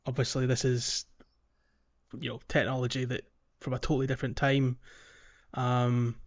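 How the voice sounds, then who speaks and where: A man's voice: medium pitch, level tone. Hesitant man, slightly muffled recording. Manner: hesitant, halting, searching for words. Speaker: podcast co-host. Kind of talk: casual video game discussion.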